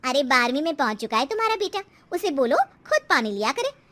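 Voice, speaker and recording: Woman playing high-pitched neighbour woman, chatty, frank, advising, storybook character. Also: big pitch swings, quick pace, a bit bright. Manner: advising, outspoken.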